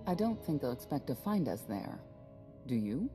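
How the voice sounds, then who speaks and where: A middle-aged woman playing a regretful, proud warrior mother in a video game, speaking in a measured, wistful way. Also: rumbling low, sing-song, warm tone. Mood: matter-of-fact. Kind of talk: dramatic video game acting. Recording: music under the dialogue.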